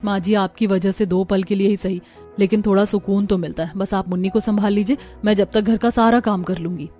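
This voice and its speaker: A woman playing a harried, caring young housewife and mother in an animated family story. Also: medium pitch, fairly quick, lightly acted. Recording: background music under the voice.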